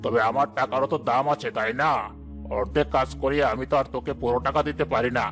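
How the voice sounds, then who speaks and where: A man's voice: mid-range voice, fairly even intonation, fast talker, fairly bright tone, soft background music, close to an everyday voice. A man playing a stingy, bossy village boss in a cartoon, with a domineering, dismissive manner. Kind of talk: Bangla cartoon story dialogue.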